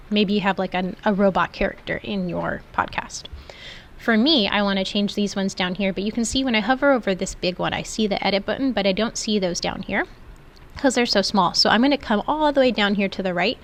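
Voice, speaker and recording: A woman's voice: medium pitch, a bit bright. A woman instructor giving an informal, step-by-step screen tutorial in an explanatory way. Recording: clearly noisy background.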